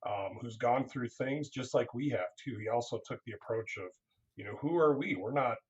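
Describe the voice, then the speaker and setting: A man's voice: medium pitch, normal speed, muffled recording. A man, a counseling professor, speaking earnestly in a casual interview, often restarting his sentences.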